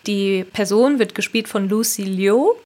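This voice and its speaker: A woman talking casually but critically about a film, laying out her opinions clearly. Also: mid-range voice, unhurried, mellow.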